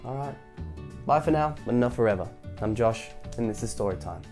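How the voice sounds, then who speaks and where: A man's voice: medium pitch, animated, a little fast, a little mellow, soft background music. Sniffly man reading a children's book. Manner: chatty, laughs and goes off on tangents. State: head cold, sore throat, sniffly. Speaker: storyteller.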